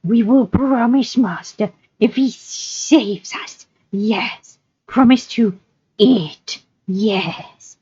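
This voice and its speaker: A woman voicing a wretched, cringing creature in a storybook reading, whimpering and pleading in drawn-out, repetitive phrases. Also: fairly high pitch, warm tone, husky, dry recording, dull audio, voice pushed up, full character voice. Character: wretched whining creature, cringing, hungry and evasive. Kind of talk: audiobook-style character reading.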